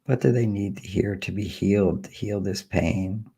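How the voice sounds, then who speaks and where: A man leading a guided healing meditation, speaking slowly and softly with long pauses. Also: deep voice, gravelly, slightly rough. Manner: slow, soft, soothing delivery with long pauses.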